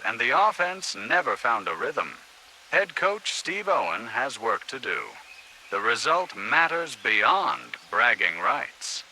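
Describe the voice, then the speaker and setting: A man's voice: on the high side, pushed and bright, a little hiss or hum, low-quality recording. A man with an American accent reading scripted news and sports as a 1950s radio host, with a dramatic, punchy announcer delivery.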